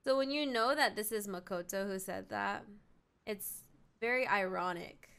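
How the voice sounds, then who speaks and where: A woman's voice: medium pitch, normal speed, vocal fry, fairly bright tone, smooth. A woman streaming a story game, giving casual, reflective reaction commentary as she thinks aloud.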